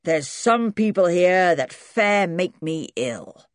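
A woman voicing a cantankerous old great-grandfather in a storybook, gruff and bellowing. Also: lowish voice, animated, slow, no echo, studio quality. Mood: grumbling.

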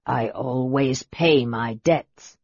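A proud young wizard acted by a male narrator speaks with quiet intensity and formal courtesy. Every word is slow and deliberate, in a roomy, slightly dulled recording for a fantasy audiobook. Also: medium pitch, husky, voice pushed down. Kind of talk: audiobook character voice. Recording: roomy echo, slightly dull sound.

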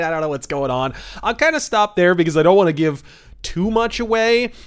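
A man hosting a podcast, giving film review and history commentary in a conversational, opinionated, wryly amused way. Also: a little high. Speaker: podcast host.

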